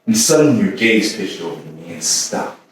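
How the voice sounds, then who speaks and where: A man's voice: low-pitched, big pitch swings. A man acting an anguished lover, very echoey. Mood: haunted, brooding. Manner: intense, halting, with repetitions and sudden swells. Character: anguished man haunted by obsessive, dangerous love. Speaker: stage actor. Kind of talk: theatrical staged reading, dramatic monologue. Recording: echoey room from far off, faint noise.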